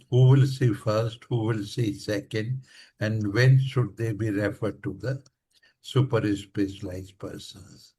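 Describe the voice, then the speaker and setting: An Indian-accented man moderates a panel discussion. He talks at a markedly slow pace in a mid-pitched voice, summing up points for the session. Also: darkish tone, slightly rough.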